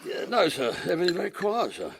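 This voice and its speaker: An actor playing a shifty, irritable villainous barber in a period audio drama, servile one moment and snappish the next. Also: male voice, high-pitched, wildly swooping pitch, fast talker, vocal fry, husky, heavily acted. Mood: smooth, fawning. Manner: servile to gentlemen, snappish to his apprentice.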